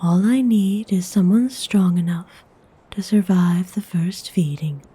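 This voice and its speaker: Woman playing a breathy, unhurried aristocratic lady vampire. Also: fairly low pitch. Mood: appraising. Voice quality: soft, breathy, half-whispered. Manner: unhurried, formal, coolly refined. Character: aristocratic lady vampire, hungry, haughty yet benevolent. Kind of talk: ASMR audio roleplay acting.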